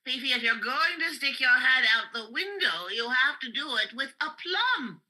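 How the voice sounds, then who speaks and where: A woman's voice: high voice, lively intonation, moderate pace, pushed and bright, heavily acted, poor audio. A woman playing a proud, brassy pet owner who boasts grandly. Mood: boastful. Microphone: video call.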